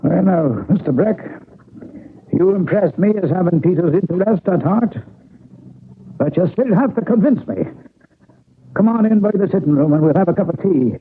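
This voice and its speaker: Animated high-voiced Irish priest. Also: male voice, normal speed, long pauses, slight background noise. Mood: softened, kindly. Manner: emphatic, folksy, old-fashioned turns of phrase. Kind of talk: old-time radio drama acting. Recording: tinny band-limited vintage radio broadcast.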